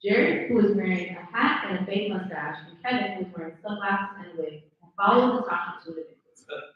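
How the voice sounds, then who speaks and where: Female script narrator, medium pitch, distant and dull sound, live read. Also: expressive, a little fast. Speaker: stage-direction reader. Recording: very echoey room, distant dull mic.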